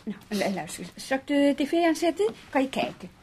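An irritable younger woman in a radio play, with a high voice. She is brisk, impatient and defensive, recorded with faint background noise. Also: very animated, darkish tone. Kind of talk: radio drama acting.

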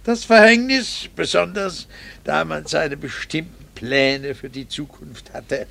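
An actor playing an embittered, coldly vengeful middle-aged husband in a radio play, sarcastic, theatrical and precisely articulated. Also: male voice, a little high, slow pace, gravelly, sharp tone, a little hiss or hum, heavily acted. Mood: coldly triumphant. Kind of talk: German radio play acting.

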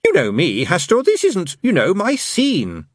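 A man's voice: huge pitch swings, no echo, studio quality, heavily acted. A man voicing a nervous male demon who babbles and tries hard to please. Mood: jittery. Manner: fast, jittery, high-pitched babble.

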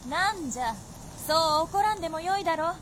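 A woman's voice: very high voice, lively intonation, fast talker, a bit bright, slight background noise. A woman acting as a proud, vengeful and teasing fox princess in a radio drama, speaking in an archaic, imperious way. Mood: haughty greeting. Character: proud old-fashioned vixen spirit princess, vengeful and teasing.

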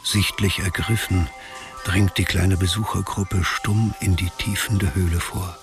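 A man's voice: low voice, fairly even intonation, a little slow, over music. A man narrating a radio drama in a grave, detached, documentary-like manner. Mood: hushed, grave.

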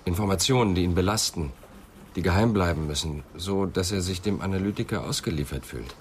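Low-voiced, probing police inspector. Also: male voice, slight background noise. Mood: probing, sober. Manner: sober, deliberate questioning. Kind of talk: German radio crime drama acting.

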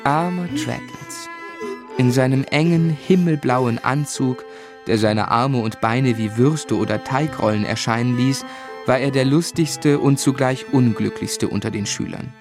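An actor playing a grown man who fondly recalls his schooldays, narrating a literary radio drama in a measured, reflective way. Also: mid-range voice, music in the background, natural voice.

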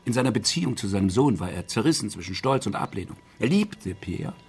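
An actor playing an inquisitive, eccentric psychiatrist in a German radio crime drama, speaking deliberately and theatrically. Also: male voice, lowish voice, sing-song, darkish tone. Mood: grave, emphatic. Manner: theatrical, deliberate, fond of tag questions. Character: inquisitive, eccentric male psychiatrist, measured and probing.

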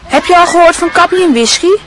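A woman's voice: extremely high pitch, fast talker, a bit bright, a faint crowd, slight background noise, low-quality recording. A woman playing a gossipy, knowing schoolboy in a radio drama, cocky and conspiratorial.

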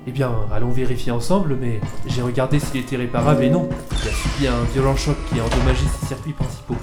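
A man's voice: mid-range voice, fairly even intonation, fast talker, lightly acted. A man acting as a practical, confident spaceship crewman who knows machines, in a French sci-fi audio drama. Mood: inquiring, practical. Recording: echoey room, music behind. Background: music.

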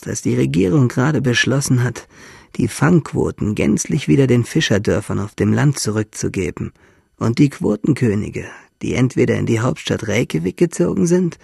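An actor playing a dying young man in a radio drama, husky and gravelly, musing intimately in speech, bitter yet playful, asking and answering himself. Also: mid-range voice, darkish tone, no room sound, clean studio sound, heavily acted. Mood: mock-ceremonious.

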